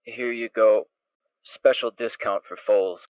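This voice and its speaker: A laid-back low-voiced pony trader. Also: male voice, slow, smooth, no room sound, lo-fi sound. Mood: easygoing. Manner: relaxed, easygoing, casual.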